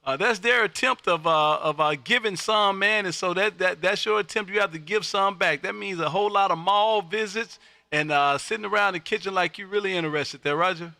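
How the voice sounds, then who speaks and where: A man's voice: sits high, a little fast, gravelly, sharp tone. An exuberant, folksy man hosting an amateur radio livestream, chatting on air and often repeating his phrases. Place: home radio room.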